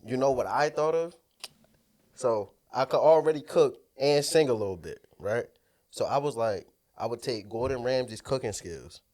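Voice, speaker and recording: A man's voice: mid-range voice, moderate pace, darkish tone. A man chatting casually as a podcast co-host, with a congested, nasal voice and slangy talk where he repeats himself for emphasis. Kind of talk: casual banter.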